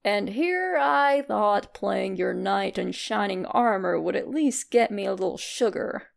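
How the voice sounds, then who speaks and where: A woman's voice: medium pitch, expressive, a little slow, vocal fry, voice pushed down. A woman voicing a cocky, sarcastic, flirtatious male skeleton monster with a swaggering, teasing delivery in a story reading.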